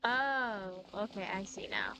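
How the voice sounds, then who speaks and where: A woman's voice: medium pitch, huge pitch swings, slow pace, sharp tone, rough recording. A woman streaming a video game, chatting casually and reading dialogue aloud over game music.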